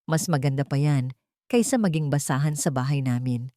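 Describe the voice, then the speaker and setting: A woman's voice: rumbling low, normal speed, warm tone, dry recording, clean studio sound, voice pushed down. Woman voicing a spoiled, sneering rich young woman. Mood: mocking. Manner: mocking, condescending, Taglish-speaking.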